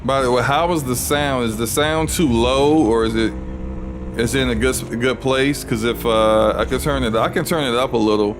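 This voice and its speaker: A man with a deep voice chatting casually while he streams a video game, rambling and restarting his sentences. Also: moderate pace, music in the background. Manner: rambling, relaxed, frequent restarts. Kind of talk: casual gaming livestream commentary.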